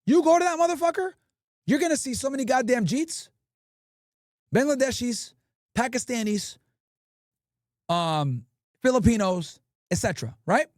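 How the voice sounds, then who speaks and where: A man's voice: very high voice, moderate pace, long pauses, dry recording, clean studio sound. A male livestream host giving brash, profane commentary and telling stories with lots of swearing. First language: English. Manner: brash, profane, animated storytelling.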